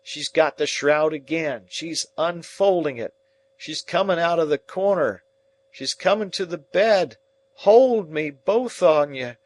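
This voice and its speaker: A man voicing a dying man raving in terror at a ghost, shrill, frantic and pleading. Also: close to an everyday voice.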